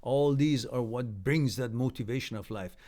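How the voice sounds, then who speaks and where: A man's voice: medium pitch, moderate pace, mellow. A man whose first language is Farsi chats live with his viewers, reading their comments aloud and answering them. His advice is blunt but easygoing, and he laughs often between sentences.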